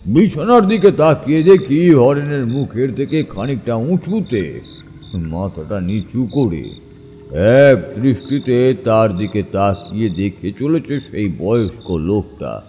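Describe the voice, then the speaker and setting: An actor playing a haunted man retelling a terrifying ghost memory in a horror audio drama, halting and full of dread, with dramatic pauses. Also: mid-range voice, lively intonation, dark tone, in a large hall, slight background noise. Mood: tense dread.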